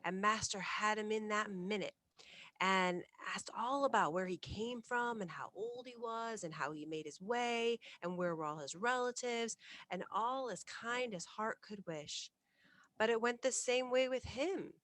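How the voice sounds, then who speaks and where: A woman's voice: mid-range voice, unhurried, fairly bright tone, no echo, close to an everyday voice. Woman voicing a fussy, gossipy older housekeeper with homely rustic phrasing. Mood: gossipy, musing. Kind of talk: storybook character voice in a narrated reading.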